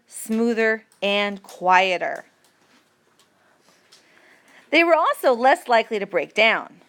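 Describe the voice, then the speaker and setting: Slow-paced woman reading a lesson aloud. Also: fairly high pitch, a bit bright. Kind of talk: read-aloud educational narration.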